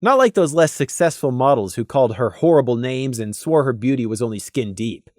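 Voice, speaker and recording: An expressive storyteller reads scripted fiction aloud. The delivery is dramatic, with a very lively, mid-pitched melody and deliberate pauses. The sound is dry, with little room in it. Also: dry recording. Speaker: audiobook narrator.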